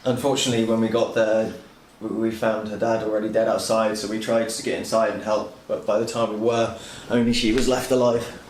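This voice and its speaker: A man's voice: lowish voice, level tone, brisk, hardly any pauses, darkish tone. A man playing a tabletop game, speaking casually for his character. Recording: roomy, light background noise.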